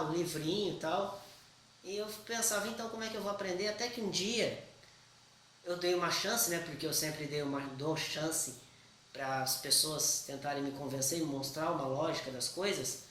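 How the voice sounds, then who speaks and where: A young man from Brazil explaining casually to his viewers, chatty and blunt, often checking in with a quick "right?". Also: a little high, pushed and bright, distant mic, slight background noise. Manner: chatty, keeps asking "certo?", slangy and blunt. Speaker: vlogger. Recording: echoey room, voice a bit off-mic.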